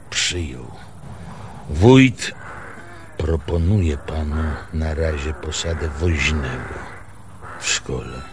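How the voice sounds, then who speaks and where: An actor in a radio play as a pompous, officious male village schoolteacher, speaking formally and pedantically in a husky voice. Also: a bass voice, background noise, heavily acted. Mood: haughty, grudging. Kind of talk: Polish radio theatre acting.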